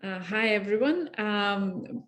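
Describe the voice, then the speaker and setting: A woman and former investment banker introducing herself on a panel, speaking haltingly with many fillers, earnest. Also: medium pitch, animated, a bit bright.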